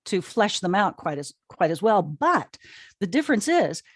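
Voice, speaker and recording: A woman's voice: mid-range voice, big pitch swings, normal speed, breathy edge, no echo, clean studio sound. A woman explaining things casually as an interview guest, emphatic and often repeating words as she finds her phrasing. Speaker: interview guest, audio drama company co-founder.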